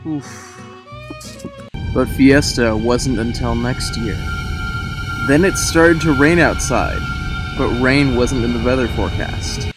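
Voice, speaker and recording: A man's voice: mid-range voice, slow, long pauses. A young man giving a mock-dramatic story reading, theatrical, breaking into casual wry asides. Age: young adult. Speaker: host reading own childhood story. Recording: music under the voice. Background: music.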